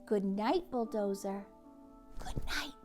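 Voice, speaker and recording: A woman hosting a read-aloud for young children, speaking expressively and gently, with hushes and words that drop toward a whisper. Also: mid-range voice, slow pace, soft background music. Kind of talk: children's storybook read-aloud and chat to families.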